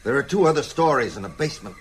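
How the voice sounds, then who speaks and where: An actor playing an ailing older schoolteacher in a radio drama, stubborn and sceptical, reasoning aloud insistently. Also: male voice, medium pitch, animated, rapid-fire, lightly acted.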